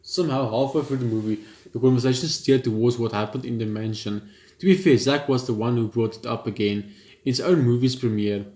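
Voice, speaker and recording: A man reading a horror visual novel aloud in the first person, steady but often stumbling and restarting lines. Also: mid-range voice, brisk. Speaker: gaming channel host. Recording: roomy room with loud game music.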